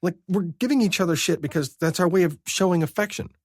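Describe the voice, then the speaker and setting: A male narrator voicing a sad, sharp-tongued young woman who answers with tearful but wry retorts. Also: sits high, fast talker, no room sound, clean studio sound, voice pushed up. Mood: wistful.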